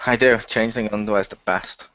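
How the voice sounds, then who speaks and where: A man's voice: lowish voice, fairly even intonation, quick pace, a bit bright, no echo. A man chatting casually as a cast member, teasing the others and laughing a lot. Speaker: cast member of an audio drama. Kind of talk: casual chat. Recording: dull lo-fi, dry sound.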